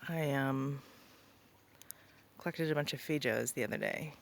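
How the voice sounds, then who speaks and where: Young female vlogger, casual and rambling, a fry-edged voice, on a noisy outdoor recording. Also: a bass voice, a little slow. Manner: rambling, many ums and likes. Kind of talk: casual vlog talk. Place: rural property outdoors, birds around.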